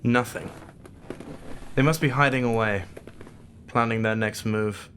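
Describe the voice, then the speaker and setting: An actor in an audio drama playing a commanding, wry leader of secret investigators who is gruffly kind, speaking in a measured, authoritative way. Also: male voice, medium pitch, fairly even intonation, a bit bright, a little hiss or hum, lightly acted. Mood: wry, probing.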